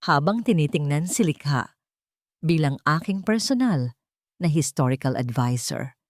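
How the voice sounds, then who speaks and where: A woman's voice: low-pitched, lively intonation, a little slow, dry recording, studio quality. A woman voicing a formal, authoritative wealthy sheikh in a story. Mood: commanding. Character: formal, commanding wealthy sheikh, adult man.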